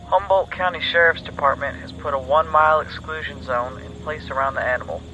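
Sharp male news reporter acted, band-limited. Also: fairly high pitch, steady tone, fairly quick, faint music behind. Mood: urgent. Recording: dull, band-limited broadcast sound.

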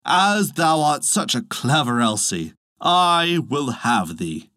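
A reader voicing a plain young bridegroom who speaks slowly and matter-of-factly in a lower voice. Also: very animated, dry recording, studio quality.

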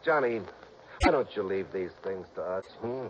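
An actor playing an exasperated, sarcastic city police lieutenant in an old-time radio drama. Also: male voice, low-pitched, lively intonation, normal speed. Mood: mock horror. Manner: mocking, theatrical exasperation. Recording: dull, noisy old radio recording.

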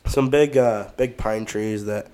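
A lower-pitched man with a smooth, clear voice, a little stuffed up and sniffly. He co-hosts a podcast and rambles through his gear casually, with plenty of fillers. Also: a little slow. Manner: relaxed, rambling, many fillers. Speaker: podcast co-host.

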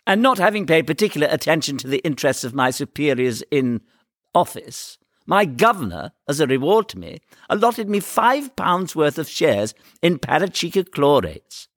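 A man voicing a cocky, flippant, excitable young son in a comic story, with drawling, exaggerated emphasis. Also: on the high side, moderate pace, no room sound, clean studio sound. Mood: boastful.

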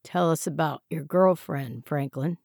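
A man's voice: fairly high pitch, a little slow, vocal fry, a little mellow, no room sound, studio quality. A woman voicing a tall male interrogating officer who speaks in curt commands. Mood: cold.

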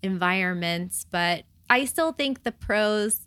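American woman hosting a casual travel podcast, sharp bright voice, dry close recording, easygoing with fillers. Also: mid-range voice, expressive, slow pace, smooth, dry recording. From United States. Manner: friendly, thinks aloud with fillers. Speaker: podcast host. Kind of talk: casual interview chat.

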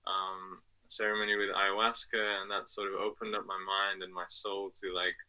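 A man's voice: sits low, flat delivery, moderate pace, sharp tone, clear, resonant tone, lo-fi sound. A man in his twenties from Afghanistan who grew up in England, answering as a podcast guest with many fillers and restarts. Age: 20s. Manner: hesitant, many fillers and restarts, laughs often. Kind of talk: casual interview answers.

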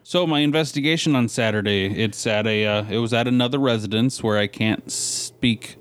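A man's voice: lowish voice, normal speed, a bit bright, smooth, no echo. Casual man chatting on a podcast. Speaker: podcast co-host. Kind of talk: casual chat.

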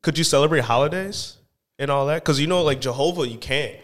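A man's voice: on the high side, a little fast. A man co-hosting a casual podcast, chiming in supportively. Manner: supportive, frequent backchannel. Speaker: podcast co-host, realtor.

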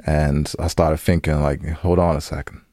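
A man's voice: rumbling low, flat delivery, normal speed, warm tone, no echo, clean studio sound. A man co-hosting a podcast in casual, colloquial banter with a teasing manner. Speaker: podcast co-host.

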